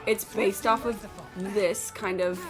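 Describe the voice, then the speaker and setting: A woman's voice: medium pitch, steady tone, slow, faint music behind. A woman livestreaming a game, chatting casually and opinionatedly in a blunt, sweary, incredulous ramble. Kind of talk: casual opinionated chat while gaming.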